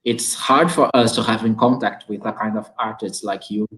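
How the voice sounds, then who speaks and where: A man with a Brazilian accent hosts a conversation over a video call from his home. His voice sits on the low side, and his English comes out halting as he searches for words, with frequent laughs. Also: fairly even intonation, fairly bright tone, rough recording. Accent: Brazilian Portuguese. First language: Portuguese. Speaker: host. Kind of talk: online conversation, relaying audience comments.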